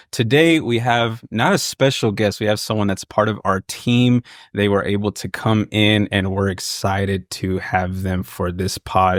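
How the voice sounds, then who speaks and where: A man's voice: lowish voice, no room sound, clean studio sound. A man in his twenties co-hosting a podcast, introducing the show in a loose, upbeat way. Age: 20s. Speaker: podcast co-host.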